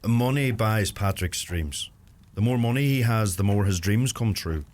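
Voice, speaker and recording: An actor playing an earnest, plain-spoken young Irish farmer with a Donegal accent, torn by guilt, in a radio play. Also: male voice, fairly low pitch, a little slow, a little mellow, natural voice. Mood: wistful, reflective.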